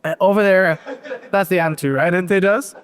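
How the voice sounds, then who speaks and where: Man, 30s, conference speaker, lively and joking, high-pitched, long hall reverb. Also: normal speed, smooth. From Pakistan and Switzerland. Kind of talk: tech conference talk. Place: large conference hall stage.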